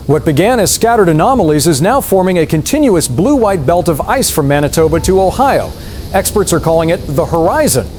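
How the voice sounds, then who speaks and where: A man acting a grave, composed news anchor in an audio drama, measured and formal. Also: a little mellow. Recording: faint rumbling noise.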